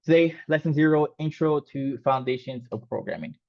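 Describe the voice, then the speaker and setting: A man's voice: fairly high pitch, a little fast, creaky. Spanish-native man teaching a coding class. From Mexico. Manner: loose and casual, many fillers and restarts. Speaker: coding instructor. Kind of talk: online class talk. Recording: slightly dull, lo-fi sound.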